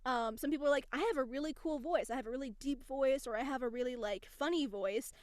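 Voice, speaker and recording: A woman voice actor and casting director, a podcast guest, explaining her craft in casual, animated talk. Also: high-pitched, fast talker, dry recording, clean studio sound. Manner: animated, explains with quoted examples.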